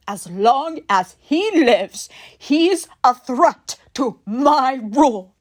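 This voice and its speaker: A woman playing a ruthless, imperious tyrant queen in an audio Bible drama. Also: a little high. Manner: imperious, commanding, slow and deliberate.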